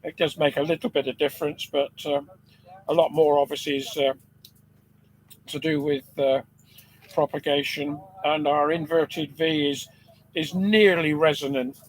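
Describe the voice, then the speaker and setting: A man with a deep-sounding voice, an amateur radio operator chatting relaxed on air from a field station. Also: normal speed, slight background noise, rough recording. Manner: relaxed, hesitant with fillers. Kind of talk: ham radio contact chat. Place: outdoor field station on a generator.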